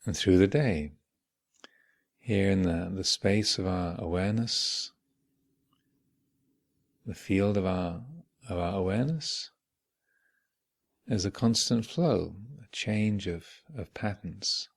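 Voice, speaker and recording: A man leading a guided meditation as a teacher, speaking in measured, contemplative short phrases with long reflective pauses. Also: sits low, creaky. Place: meditation retreat hall.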